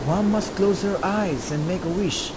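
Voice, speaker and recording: A man playing a loving father in a student radio drama, gentle with his child and heated in arguments with his wife. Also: sits high, a little slow. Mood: tender. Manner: soft, tender storytelling to his child. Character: loving father, middle-aged man, gentle then angry husband. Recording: noisy amateur recording with hiss.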